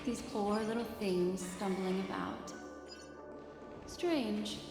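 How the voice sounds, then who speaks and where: Woman acting poised mysterious lady, mid-pitched, coy, measured, politely suspicious, game dialogue, echoey with music. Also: lightly acted. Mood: composed, amused. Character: poised, enigmatic memory keeper woman, teasing and suspicious. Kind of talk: English video game voice acting. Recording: echoey reverberant hall, music behind.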